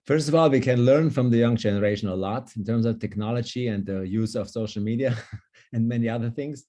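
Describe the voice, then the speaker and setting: Enthusiastic German-accented man, mellow voice. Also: mid-range voice, a little fast. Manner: enthusiastic, emphatic. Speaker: longevity coach. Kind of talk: online panel discussion. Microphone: video call.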